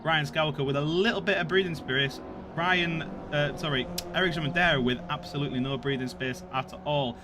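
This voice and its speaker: Man, Yorkshire accent, fairly high voice, sim racing play-by-play, noisy background. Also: a little fast. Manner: excitable, laughs and shouts at crashes. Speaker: race commentator.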